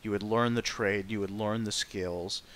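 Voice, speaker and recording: Bright-voiced opinionated man chatting. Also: on the low side, fairly even intonation, moderate pace, a little hiss or hum, poor audio. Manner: opinionated, emphatic. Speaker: streamer chatting during a game playthrough. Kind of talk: casual chat.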